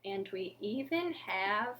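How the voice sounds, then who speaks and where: A woman in her thirties hosting an interactive storytime for young children, with expressive, sing-song delivery and exaggerated reactions. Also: mid-range voice, slow, a little hiss or hum. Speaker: library storytime host. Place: living room at home.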